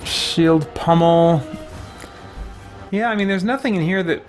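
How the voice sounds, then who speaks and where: A man's voice: high-pitched, slow, faint music behind. A man giving casual, unscripted let's-play commentary, hesitant and thinking aloud to himself as he plays. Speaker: gaming host playing and commenting on a role-playing game.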